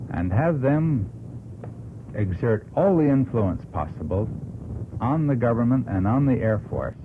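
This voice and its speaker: An American man argues earnestly on a radio talk show, phrasing deliberately and emphatically like a practised orator. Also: lowish voice. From United States. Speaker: panel guest. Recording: noisy, tinny old radio broadcast recording.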